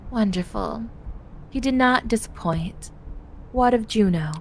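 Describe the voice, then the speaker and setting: A woman playing a powerful, gracious occult leader in an amateur visual novel dub, with formal, measured delivery. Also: a little high, a little hiss or hum. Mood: gracious, pleased.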